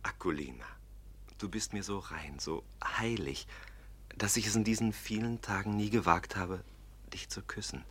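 A man's voice: lowish voice, normal speed, fairly bright tone, slightly rough. An actor playing an earnest, romantic young nobleman in a German radio play. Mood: tender, fervent. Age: young adult. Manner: earnest, fervent.

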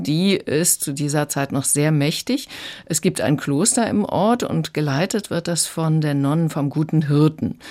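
A woman's voice: sits low, darkish tone. A woman working as a book critic, giving a prepared review in a radio conversation.

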